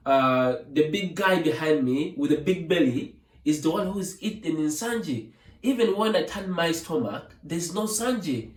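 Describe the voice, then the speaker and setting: A man's voice: a little high, lively intonation, unhurried. A man with a Ugandan accent voicing an evasive folktale beast that insistently protests its innocence and shifts blame. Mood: defensive denial. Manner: insistent, repetitive denials. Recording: echoey room, distant from the mic.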